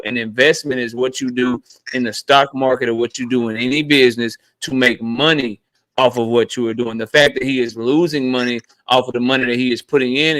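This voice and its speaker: A man's voice: mid-range voice, a bit bright, dry recording, poor audio. Blunt, argumentative man hosting a stream. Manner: blunt, argumentative, swears freely. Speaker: host of a live boxing talk stream. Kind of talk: live-stream panel debate.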